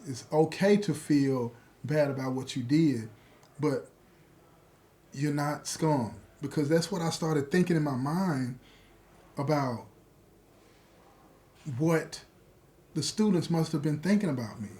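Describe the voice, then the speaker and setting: Mellow-voiced man, halting reflective storytelling. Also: a little high. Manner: halting, deliberate phrasing with pauses. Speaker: prisoner telling his own story. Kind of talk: personal testimony, reflective storytelling.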